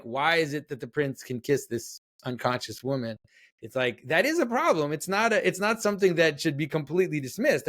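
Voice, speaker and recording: A man in his forties from Quebec whose first language is French, a guest answering interview questions thoughtfully, with fillers and restarts, speaking over a video call from a room at home. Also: on the high side. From Quebec, Canada. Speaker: guest author and artist.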